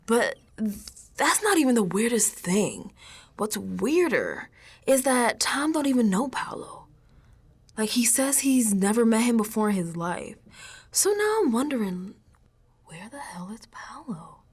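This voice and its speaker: An actress performs a young American woman in a radio drama monologue, streetwise and unsettled. Her voice sits fairly high and swoops freely. She talks in casual, emphatic slang, as if confiding in a friend. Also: sing-song, a little slow, slightly rough, heavily acted. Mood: puzzled, insistent. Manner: colloquial, sassy, emphatic, dropping into whispers.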